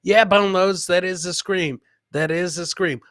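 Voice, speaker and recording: A man hosting a live comedy podcast, casually reacting to funny clips in a rambling, jokey, animated way and repeating his catchphrases. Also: high voice, unhurried, dry recording, studio quality.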